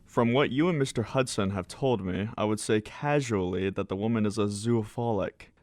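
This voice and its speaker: A pompous, muddled doctor of the mind acted by a man, low in pitch and recorded close and dry. He is wordy and self-important, in radio play style. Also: normal speed, dry recording, close to an everyday voice. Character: pompous, muddled psychiatrist spouting jargon. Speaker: amateur radio drama actor. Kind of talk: radio drama acting.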